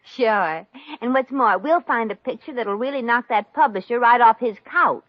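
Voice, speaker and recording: A woman's voice: on the high side, normal speed, no echo, lightly acted. An actress playing a brassy, good-hearted, wisecracking young woman in 1950s radio comedy style, breezy and slangy. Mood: breezy, helpful. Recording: dull old-time radio recording.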